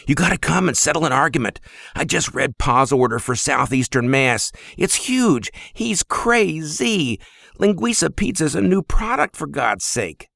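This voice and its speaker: A man voicing an excitable younger brother who is out of breath and talks in a rush. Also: medium pitch, takes it slowly, creaky, hardly any pauses, dry recording, studio quality, heavily acted. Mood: agitated. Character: flour-covered younger brother, excitable and worked up.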